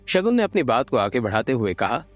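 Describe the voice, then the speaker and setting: Male narrator for a serial audio drama: mid-pitched, highly expressive, dramatic, soft music bed. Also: fairly quick. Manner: expressive, suspense-building. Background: light background music.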